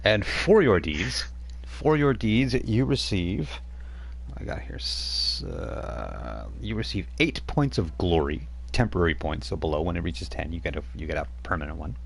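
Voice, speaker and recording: A man's voice: low-pitched, big pitch swings, unhurried, vocal fry. A man running a tabletop role-playing game, narrating scenes and patiently explaining rules. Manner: explains rules patiently, stops to check notes. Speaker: game master. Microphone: streaming mic.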